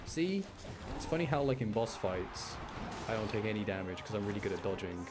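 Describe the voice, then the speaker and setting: A man's voice: lowish voice, lively intonation, fairly quick. A man giving casual gameplay commentary, lightly grumbling and self-deprecating as he explains what he is doing. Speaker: gamer playing and commenting, channel host. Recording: noticeable background noise.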